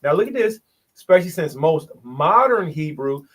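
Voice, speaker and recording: A man's voice: fairly high pitch, sing-song, moderate pace, a bit bright. A man presenting in a bible study, explaining points from a shared screen and sounding out syllables, often repeating himself.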